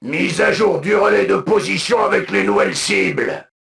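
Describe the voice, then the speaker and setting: An actor voicing a proud, zealous alien military commander for a video game, with a gravelly voice and solemn, imperious, clipped delivery. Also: male voice, high-pitched, sing-song, moderate pace, a bit bright, heavily acted. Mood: urgent, battle-ready. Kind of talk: video game unit voice lines, declamatory.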